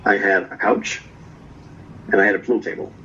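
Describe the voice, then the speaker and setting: A man from Norway, telling his story as a guest in a relaxed, casual chat and tagging his lines with "right?". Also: fairly low pitch, normal speed, muffled recording, slight background noise. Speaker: guest vlogger.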